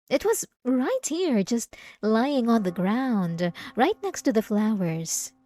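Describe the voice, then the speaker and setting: A woman plays a demure young church girl in an intimate ASMR roleplay, speaking slowly in a close, dry recording. She is pious and over-polite, hesitating as she addresses the listener, with a clingy devotion under the sweetness. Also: medium pitch, dark tone, dry recording.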